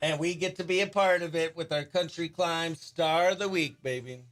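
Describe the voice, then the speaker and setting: A man hosting a radio show, chatting in an informal, excitable, slangy way. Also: fairly high pitch, normal speed. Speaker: radio host. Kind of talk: casual behind-the-scenes chat and upbeat radio interview.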